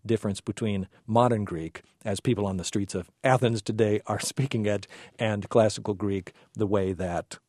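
A male host with a fairly low voice. He asks long, meandering questions, circling back and restarting as he thinks. The recording is dry and close. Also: steady tone, normal speed, a little mellow, no echo. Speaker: interviewer host. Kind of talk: conversational interview.

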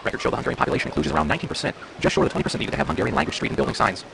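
Male field reporter, quick and gravelly, noisy recording. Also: medium pitch, very animated. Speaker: news reporter. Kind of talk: scripted news narration.

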